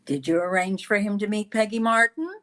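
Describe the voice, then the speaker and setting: A woman's voice: mid-range voice, brisk, a bit bright, natural voice. A woman playing a gossipy, nosy housewife's friend in an old-time radio sitcom, chummy and wry.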